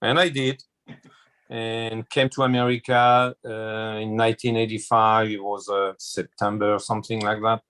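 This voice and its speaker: A man in his sixties with a French accent, a chef telling his life story in an interview, slow and hesitant with many fillers. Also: on the low side, little pitch movement, a bit bright. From France. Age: 60s.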